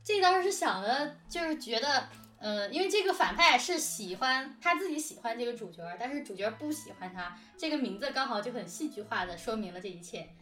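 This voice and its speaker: Woman, high voice, animated casual chat, giggly, very echoey, slightly distant. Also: a little fast, few pauses. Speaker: audio drama production team member, co-host. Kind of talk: casual behind-the-scenes chat.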